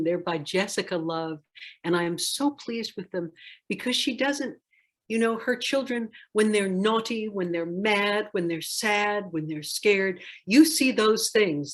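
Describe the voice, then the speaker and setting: Older lady author chatting about her book, lowish voice, thoughtful, stresses the important words. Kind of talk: personal talk introducing a read-aloud.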